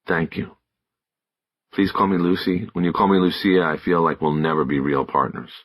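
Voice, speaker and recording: A man's voice: low voice, flat delivery, moderate pace, voice pushed up. A man voicing an earnest, self-doubting young female detective in audiobook dialogue.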